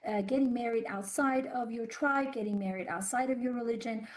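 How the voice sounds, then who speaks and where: Woman from iran on a news interview, earnest, lots of ums and restarts, a bit higher voice. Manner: earnest, halting with frequent fillers and restarts. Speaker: guest commentator on human rights.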